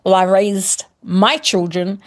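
A woman's voice: medium pitch, level tone, slow pace. A middle-aged woman from New Zealand with a New Zealand accent, a primary school teacher, explaining a word in a casual, teacherly way with examples. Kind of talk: casual explanatory chat, mixing Māori and English.

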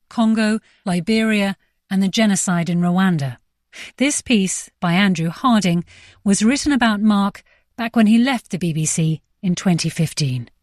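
A woman presenting a radio programme from a script, in a composed, measured storytelling manner. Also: medium pitch, a little mellow, no room sound, clean studio sound.

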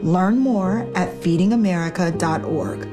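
A woman voicing a scripted public service announcement in a calm, earnest way. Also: fairly low pitch, a little slow. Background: music.

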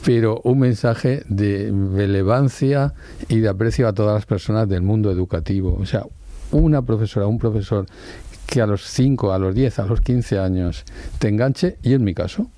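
Senior male jurist in his sixties, Valencian first language, deep mellow tone, measured explainer. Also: no echo. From Spain. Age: 60s. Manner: measured, explanatory, pauses to rephrase. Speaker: Supreme Court judge and law professor. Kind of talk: reflective interview answers.

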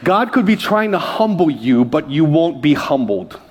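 Pastor from Hawaii, male, fiery sermon with call-outs for amen, slightly high voice. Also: sing-song, normal speed. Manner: exhorting, calls for amens, swings between loud high bursts and low quiet asides.

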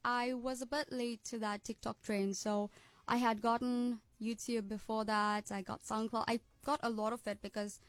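Radio guest: bright young woman with an American-sounding accent, cheerful and chatty, slightly high, dry close mic. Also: level tone, dry recording. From South Africa, Durban. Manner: cheerful, laughs often, quick asides. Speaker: guest musician. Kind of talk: radio interview.

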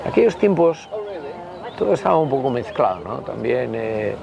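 A man in his sixties with a Basque Spanish accent, rambling and halting as he casually tells anecdotes in an interview. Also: medium pitch, sing-song, gravelly, highs cut off, crowd noise. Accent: Spanish from the Basque Country. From Basque Country, Spain. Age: 60s. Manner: rambling, halting, anecdotal, peppered with tag questions. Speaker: interview guest, political party member.

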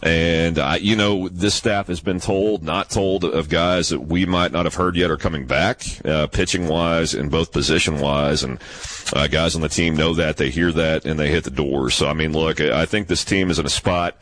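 A man with a very deep, bass-heavy voice analyzing baseball on a radio show. He speaks in a measured way, works through the details, and pokes fun at himself now and then. His low register stands out strongly from his co-hosts. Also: steady tone, fairly bright tone, no room sound. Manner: measured, analytical, self-deprecating. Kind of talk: casual sports analysis.

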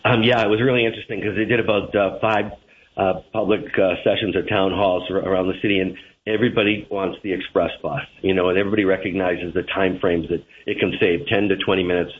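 A man's voice: sits low, fairly even intonation, quick pace. A man with a Boston accent, a transit manager being interviewed on the radio, talking with lots of "uh"s and restarts. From Boston area. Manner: hesitant, many fillers and restarts. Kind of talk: radio interview over the phone. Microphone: phone line. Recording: tinny phone line.

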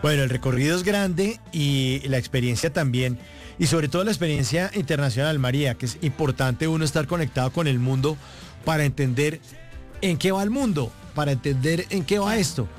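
A man's voice: on the high side, a little fast. A man hosting a radio interview, with a joking, teasing manner and frequent laughs. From Colombia. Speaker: radio show host. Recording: music playing faintly underneath.

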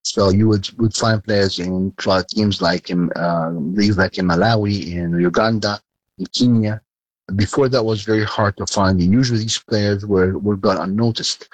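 A man giving interview answers in a halting way, with frequent pauses and restarts. His voice is fairly low, and the recording is dry and close. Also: fairly even intonation, no room sound, poor audio. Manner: halting, many hesitations and restarts. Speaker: sports data company chairman, interview guest.

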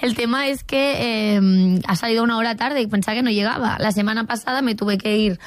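A woman's voice: fairly high pitch, brisk. A woman with a Spanish accent from Spain, chatting casually as a show contributor and complaining in a comic way.

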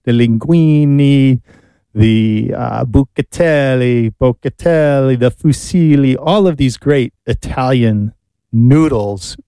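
A middle-aged man with an American accent co-hosting a talk show, reminiscing casually in a halting, reflective way. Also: medium pitch, warm tone, clean tone, dry recording.

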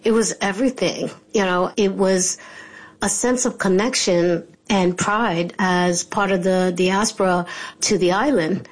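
A woman's voice: medium pitch, fairly even intonation, a little slow, low-quality recording. Reminiscing woman from New York. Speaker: podcast creator and guest. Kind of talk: reflective conversation.

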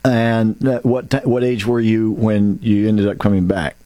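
A man's voice: lowish voice, flat delivery, unhurried, mellow, dry recording. A man hosting a talk show, rambling and folksy, with many restarts and asides. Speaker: radio show host. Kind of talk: casual radio talk show.

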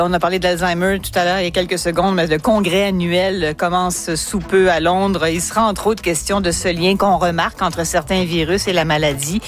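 A woman with a Québécois French accent hosting a radio science magazine in a studio, chatty and playful as she introduces segments. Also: lowish voice. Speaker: radio science show host. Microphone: studio microphone.

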